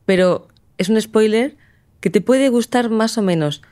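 A woman's voice: on the high side, unhurried, dark tone, no room sound, clean studio sound. A woman with an accent from Spain explaining things conversationally and assertively, often pushing her point with rhetorical questions. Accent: Spanish from Spain.

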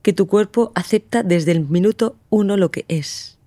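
A woman's voice: mid-range voice, moderate pace, mellow, dry recording. A woman guest in a conversational interview, speaking emphatically and passionately, eager to debate.

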